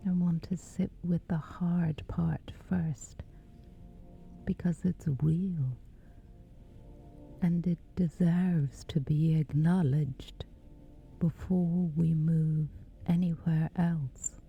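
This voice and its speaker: A woman guiding a scripted sleep meditation in a breathy, whispery, hushed and soothing voice, leaving long pauses. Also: low-pitched, steady tone. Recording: slightly dull, soft music behind. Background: music.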